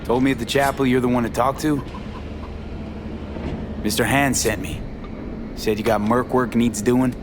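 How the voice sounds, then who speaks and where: An actor playing a streetwise, sardonic young mercenary with an American accent in a video game. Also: male voice, mid-range voice, steady tone, moderate pace, pauses often, background noise, lightly acted.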